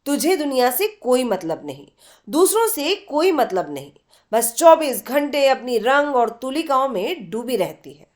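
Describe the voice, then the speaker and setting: A woman's voice: sits high, sing-song, unhurried, darkish tone. A woman voicing an earnest, irritable young female college student in a read story, teasing and scolding in exclamations.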